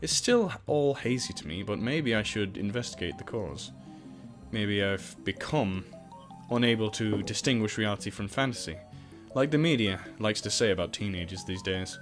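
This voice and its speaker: A man narrates a video game playthrough with very lively, swinging pitch over clear background music. He reads the text aloud, then slips into goofy, exclamatory asides as the host. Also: moderate pace. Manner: jokey, exclamatory, swings between reading and goofy outbursts. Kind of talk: let's play, reading game text aloud with joking asides.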